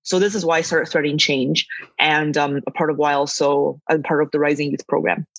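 A woman's voice: sits low, fairly even intonation, fairly quick, gravelly, no echo. A young woman talking enthusiastically and chattily as an interview guest, on a slightly lo-fi recording. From born in northern China, grew up in western Canada from age eight. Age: young adult. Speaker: podcast guest, youth climate advocate. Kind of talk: conversational interview.

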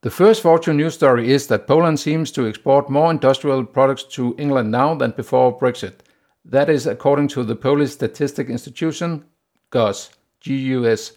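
Male podcast host, Danish accent, gravelly voice at a mid pitch, steady and plain with hesitant "uh" fillers. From Denmark. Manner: steady, plain delivery with frequent "uh" pauses. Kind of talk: solo business podcast monologue.

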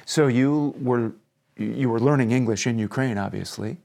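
Man, American accent, interview host, medium pitch, gentle careful phrasing. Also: moderate pace, vocal fry. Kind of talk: interview questioning.

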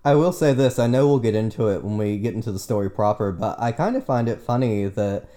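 A man's voice: lowish voice, a little fast, dark tone. A man in his late twenties, a teacher guesting on a podcast, chatting casually with lots of fillers and restarts. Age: 20s. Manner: rambling, self-deprecating, frequent restarts and fillers.

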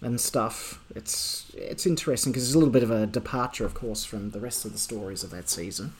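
A man's voice: lowish voice, a little fast. A man talking casually on a podcast, chatty and hedging with fillers. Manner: chatty, hedging with ums and "I guess".

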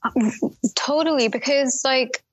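A young woman from Pakistan, raised abroad, speaks as an interview guest in a slightly high voice. She reflects as she goes, with fillers and restarts. The recording is close and dry. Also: a little slow, husky, no echo, poor audio. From Pakistan, raised in Saudi Arabia and the UK. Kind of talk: casual conversational interview.

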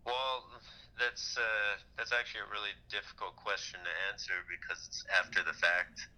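A man's voice: lowish voice, moderate pace, sharp tone, poor audio. A man from Hawaii talking casually as an interview guest, phrasing his answers in a hesitant, thoughtful way. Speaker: podcast guest.

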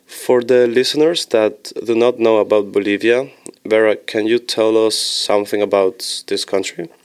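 A young man from Switzerland hosting a student podcast, measured and reading from notes as he thanks each guest. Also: lowish voice, fairly even intonation, a little mellow, smooth. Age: young adult.

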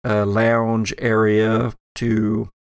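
A man running a horror tabletop game as game master, narrating scenes in a theatrical, deliberate way. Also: on the low side, monotone, smooth, no echo, clean studio sound.

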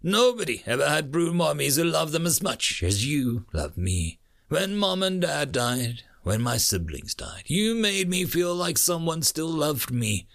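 A man voicing a wounded, exhausted alien marine in a story, devoted and brave, speaking haltingly and earnestly through pain. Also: on the high side, sing-song, gravelly, a bit bright, voice pushed up, heavily acted. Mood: tender, farewell.

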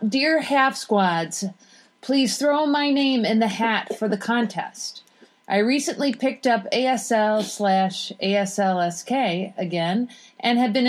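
A woman speaking as a podcast guest, in casual conversation and reading aloud informally. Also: a little high.

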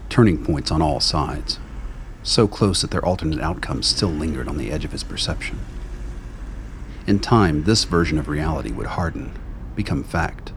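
A man with a gravelly voice reading scripted dramatic narration in a measured, brooding storytelling manner. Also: a bass voice, animated, slight background noise.

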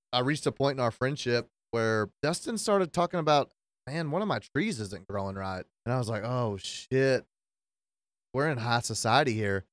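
Dude cussing through a story, dry close sound. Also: male voice, mid-range voice, fairly bright tone, clean tone, no room sound. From Kentucky. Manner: profane, joking storyteller. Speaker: podcast co-host. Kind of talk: casual chat.

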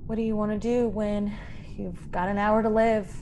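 An actress playing a frightened young woman stowaway in a science fiction radio drama, halting and emotional. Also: mid-range voice, flat delivery, dark tone, slight background noise, natural voice. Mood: wistful, subdued. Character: frightened young female stowaway, pleading, naive and wistful. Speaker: actor in a radio play.

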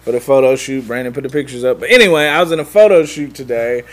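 A man's voice: medium pitch, fast talker. A man co-hosting a podcast in casual chat, laid-back and laughing often. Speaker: podcast co-host.

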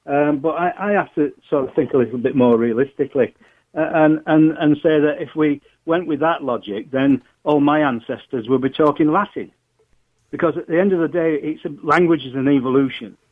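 A man with a Yorkshire accent calling a radio show, speaking in a measured, hesitant way with many fillers. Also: fairly high pitch, no room sound. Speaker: radio caller. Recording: tinny phone line.

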